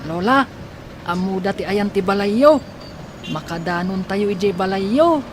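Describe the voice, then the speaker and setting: Woman acting a polite orphan child over loud noise. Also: mid-range voice, animated, unhurried, natural voice. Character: polite, helpful orphan child looking after an old woman. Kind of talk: audio drama acting. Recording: loud traffic and vehicle noise.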